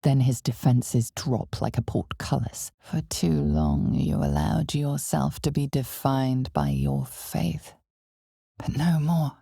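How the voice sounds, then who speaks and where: An actress playing an ominous, knowing female narrator of a dark fantasy game, husky and breathy, speaking in a measured, dramatic way that often sinks toward a whisper. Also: very deep voice, no echo, clean studio sound, exaggerated voice. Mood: intimate, intense.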